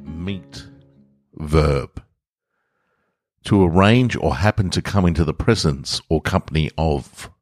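A man's voice: very deep voice, slow pace, long pauses, darkish tone, faint music behind. A man, hosting a podcast and reading short fiction aloud. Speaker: podcast host reading own short stories. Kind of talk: podcast narration, casual intro and story reading. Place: hotel room with portable studio equipment.

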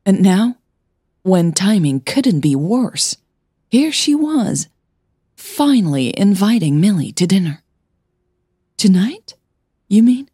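A woman narrating scripted fiction as a podcast host, in a measured, deliberate way. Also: mid-range voice, very animated, mellow, slightly rough, no room sound, studio quality.

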